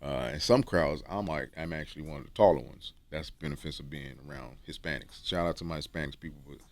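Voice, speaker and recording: A man from Texas with a deep, resonant voice, giving rambling, slangy self-improvement advice to camera and often tagging "okay?". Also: quick pace, no echo. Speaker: dating and self-improvement vlogger. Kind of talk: casual talk to camera. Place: room with books on shelves.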